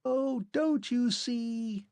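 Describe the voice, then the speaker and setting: A man voicing a fading mechanical clockwork man who speaks in a shrill, thin undertone, in a storybook reading. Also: takes it slowly, vocal fry, a little mellow, no room sound, studio quality, exaggerated voice. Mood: eerie pleading.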